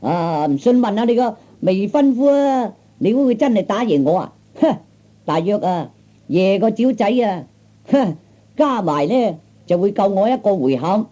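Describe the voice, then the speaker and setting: A man's voice: sits high, very animated, moderate pace, dark tone. A man playing a cocky, blustering young street tough with a Cantonese accent, in old radio comedy style. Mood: taunting. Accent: Cantonese, Hong Kong or Guangdong. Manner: blustering, taunting, stammering interjections. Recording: dull old 1960s comedy record.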